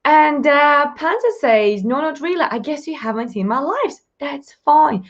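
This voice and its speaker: A woman with a Russian accent hosting a live stream Q&A, chatty, upbeat and fast-talking, laughing often. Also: high-pitched, lively intonation, highs cut off. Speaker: live show host, mindset coach.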